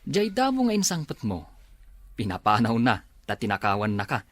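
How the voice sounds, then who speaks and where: A man's voice: mid-range voice, wildly swooping pitch, normal speed, no room sound. A man playing a level-headed, skeptical friend giving cautions in an Ilocano radio drama. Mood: doubtful, advising.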